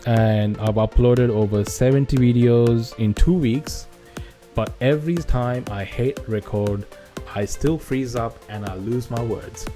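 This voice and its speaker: A man's voice: mid-range voice, unhurried, mellow, soft background music. A young man from Sri Lanka with a Sri Lankan accent, whose first language is Sinhala, talking casually to camera in a vlog, often restarting his sentences. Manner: hesitant, many restarts and fillers. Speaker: gaming channel creator.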